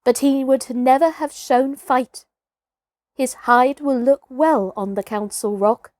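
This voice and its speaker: Lady doing a bold bossy jungle boy from a storybook, pitched up high, dry close sound. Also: takes it slowly, a little mellow, no echo. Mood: resolute. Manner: urgent, exclaiming commands. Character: young village-raised wolf boy, bold and commanding.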